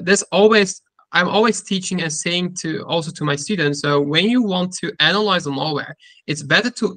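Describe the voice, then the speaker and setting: A man with a Turkish accent hosting a casual technical interview, speaking haltingly and often repeating and rephrasing his words. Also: a little high, pushed and bright, dry recording. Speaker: interviewer and cybersecurity content creator.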